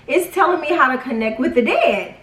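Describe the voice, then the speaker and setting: A young woman telling a personal story casually, with animated, dramatic retelling and quoted dialogue. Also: sits high, brisk. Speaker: vlog storyteller. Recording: roomy echo, distant from mic.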